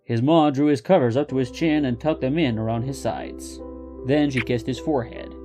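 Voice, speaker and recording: A man's voice: mid-range voice, normal speed, over music. A man narrating a scripted story as the host of a fiction reading.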